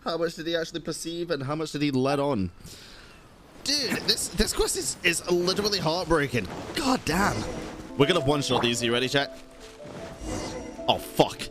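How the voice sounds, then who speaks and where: A man's voice: a little high, sing-song, moderate pace, pauses often. A young man who streams games, reacting casually and live with exclamations and swearing. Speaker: game streamer. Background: video game music.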